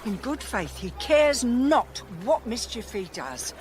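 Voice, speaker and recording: An indignant poor widow in radio Shakespeare. The voice is high and swoops widely, with slow, drawn-out complaints that repeat and exclaim. She is long-suffering and scolding. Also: very animated, a little mellow. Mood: anxious. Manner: garrulous, repetitive, exclamatory.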